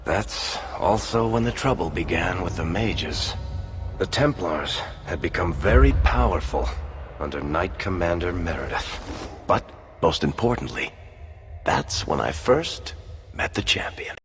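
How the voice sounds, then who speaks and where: A low, wry voice that swings expressively as it spins tales and pitches deals. It is a roguish dwarf from a video game, set over clear background music. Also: male voice, expressive, a little slow. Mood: storytelling. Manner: wry, theatrical, persuasive. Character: roguish, smooth-talking male dwarf storyteller and dealmaker. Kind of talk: video game dialogue and storytelling.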